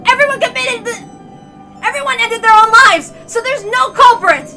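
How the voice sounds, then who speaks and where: A woman's voice: very high-pitched, normal speed, pushed and bright. A woman voicing a hot-headed, desperate young defender, insistent and exclamatory, in a dramatic visual novel read-aloud. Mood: frantic. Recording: music behind the voice.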